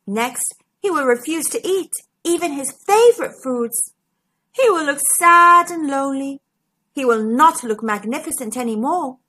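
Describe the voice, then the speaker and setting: Animated, high-voiced woman reading to children. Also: measured pace. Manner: animated, emphatic, addressing young children. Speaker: children's storytime host and narrator. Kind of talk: expressive children's picture book read-aloud.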